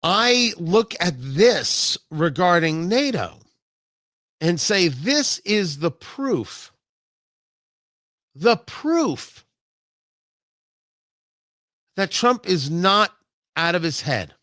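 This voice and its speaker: Bright, high-pitched American man delivering emphatic political commentary. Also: big pitch swings, no room sound, studio quality. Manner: emphatic, deliberate repetition for effect. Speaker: talk show host. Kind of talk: opinionated political commentary monologue.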